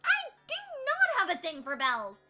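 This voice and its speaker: A woman's voice: extremely high pitch, huge pitch swings, moderate pace, over music, full character voice. A woman playing an earnest, bookish young female pony who explains things precisely, in an amateur audio drama. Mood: defensive.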